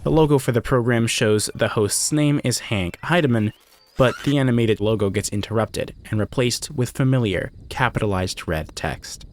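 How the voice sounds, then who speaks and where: A man's voice: mid-range voice, normal speed. A man delivering scripted, analytical video essay narration in a conversational way, with casual asides. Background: music.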